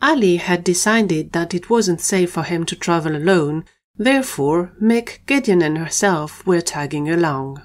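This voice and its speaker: A woman's voice: fairly low pitch, expressive, measured pace. A woman reading scripted narration for an audio adventure story.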